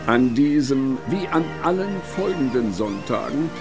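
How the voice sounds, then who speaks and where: A man's voice: mid-range voice, lively intonation, unhurried. A man narrating a scripted radio drama in a solemn, literary style. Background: music.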